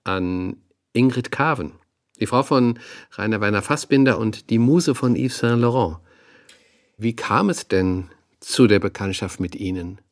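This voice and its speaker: A courteous radio interviewer speaks in a fairly low voice. He is curious and probing, yet hesitates politely as he frames each question. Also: measured pace. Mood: probing, impressed.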